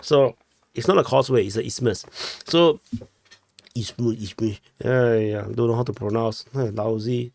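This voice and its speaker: A man's voice: mid-range voice, normal speed, creaky, darkish tone. A young man from Singapore with a Singaporean English accent, giving casual commentary over war maps, chatty and often correcting himself, tagging "no?" on his points. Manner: chatty, self-correcting, ends with "no?". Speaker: war map analyst. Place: small room at home.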